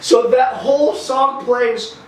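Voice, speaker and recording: A man in his thirties, an animated storyteller who acts out quotes, chatting casually as a podcast host. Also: very high-pitched, takes it slowly, a crowd in the background. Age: 30s. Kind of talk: casual chat. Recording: echoey room, distant mic.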